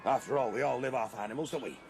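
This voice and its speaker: A man's voice: medium pitch, fast, darkish tone, faint music behind, close to an everyday voice. A man playing a blustering, suspicious farmer with a rural English accent in a radio drama. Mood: scheming. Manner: rustic, emphatic, grumbling.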